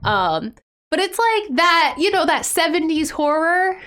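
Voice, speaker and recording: A woman's voice: very high voice, big pitch swings, a little slow, fairly bright tone. An American woman co-hosting a podcast, chatting casually in a rambling way and sounding a bit congested from a cold. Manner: chatty, rambling, laughs often. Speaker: podcast co-host. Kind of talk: casual chat.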